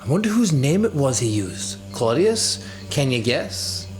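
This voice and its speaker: A man's voice: medium pitch, big pitch swings, measured pace, over music, pitched above the performer's own voice. A man voicing a moody eighteen-year-old son who is sarcastic, righteous and insistent, in a dramatic audiobook. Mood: mocking. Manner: mocking, insistent.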